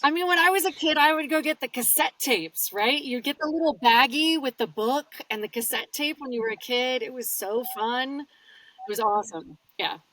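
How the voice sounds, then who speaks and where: A woman, a guest audiobook narrator, chatting casually in an interview from a small padded home booth and telling her stories with lively swings in her voice. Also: moderate pace, no room sound, recorded from a distance, poor audio. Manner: animated storyteller with wide pitch swings.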